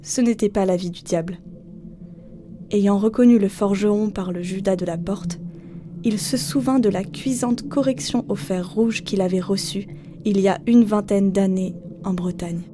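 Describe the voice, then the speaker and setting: A woman's voice: mid-range voice, normal speed, noisy background. A woman narrating a literary folk tale as a scripted storyteller.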